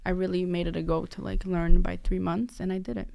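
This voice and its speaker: Chatty young woman, co-host, mid-range voice with some creak. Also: lowish voice, level tone, a little fast, mellow. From Brazil. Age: 20s. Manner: chatty, giggly, lots of "like". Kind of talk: casual chat.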